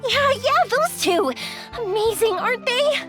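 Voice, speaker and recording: Bubbly young anime game gal, super high voice, bouncy intonation, chatting excitedly. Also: female voice, unhurried. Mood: excited, cheerful. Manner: excitable storyteller, exclaims often. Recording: music behind the voice.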